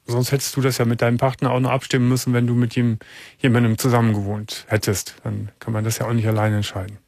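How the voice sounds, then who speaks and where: Male radio host, calm probing questions, husky voice, dry studio sound. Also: mid-range voice, fast, dry recording. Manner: calm, probing questions with frequent soft "mhm"s. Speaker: radio talk show host. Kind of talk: late-night call-in talk.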